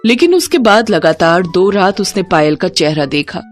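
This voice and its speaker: A woman narrating a scripted story in a dramatic, expressive storytelling manner. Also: lowish voice, moderate pace. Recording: music bed under the voice. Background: background music.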